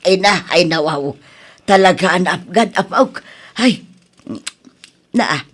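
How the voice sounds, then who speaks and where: Woman acting slow, grumbling elderly Ilocano grandmother. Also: on the low side, expressive, breathy edge, exaggerated voice. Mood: weary, grumbling. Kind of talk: radio drama acting.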